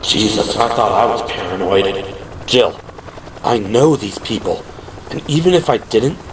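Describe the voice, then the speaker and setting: A man's voice: on the low side, animated, a little slow, poor audio. A man voicing an earnest, troubled policeman in an audio drama, with a protesting manner, over background noise. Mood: defensive, disbelieving. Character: male police officer, earnest, troubled, disbelieving.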